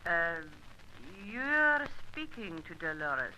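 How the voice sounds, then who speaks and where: A performer playing a guarded, mercenary South American woman informant on the telephone in a radio spy drama, curt and hurried. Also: mid-range voice, very animated, bright, forceful tone, a little hiss or hum, heavily acted, poor audio. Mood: guarded, firm. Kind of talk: radio spy adventure acting.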